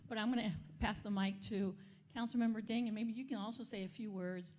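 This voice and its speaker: A middle-aged woman, a vice mayor, giving hesitant remarks at a community event with many pauses and fillers. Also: medium pitch, steady tone, a little mellow.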